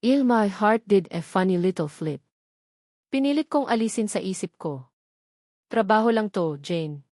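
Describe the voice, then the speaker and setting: A woman's voice: medium pitch, animated, measured pace, pauses often, a little mellow, dry recording. Filipino woman narrating a romance story, dry recording. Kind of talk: scripted first-person romance narration.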